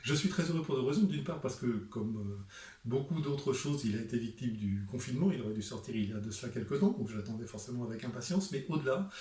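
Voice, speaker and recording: A man's voice: mid-range voice, animated, quick pace, darkish tone, reverberant, recorded from a distance. A middle-aged man presenting his book to camera, speaking emphatically and rhetorically with frequent hesitations.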